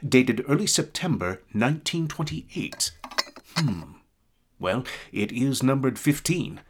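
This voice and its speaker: A formal male presenter in a radio drama, mid-pitched with lively intonation. He introduces things deliberately, slightly hesitant, over faint noise. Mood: measured, formal. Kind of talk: audio drama acting as a public presentation.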